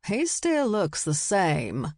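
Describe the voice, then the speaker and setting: A woman's voice: medium pitch, huge pitch swings, darkish tone, dry recording, clean studio sound, heavily acted. A woman voicing a bossy, condescending small-town woman in an audiobook. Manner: slow, drawn-out, condescending.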